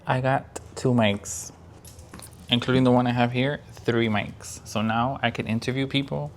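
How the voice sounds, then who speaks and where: A woman's voice: very deep voice, steady tone, measured pace, slight background noise. A woman in her forties vlogging, talking in a reflective, earnest and chatty way. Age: 40s. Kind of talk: casual vlog talk.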